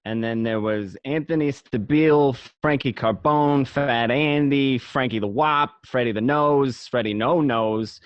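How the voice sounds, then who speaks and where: A man's voice: mid-range voice, unhurried, hardly any pauses, no room sound, lightly acted. A man playing a cocky gangster who narrates his life of crime in an amateur radio play. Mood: nostalgic. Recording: dry, dull home recording.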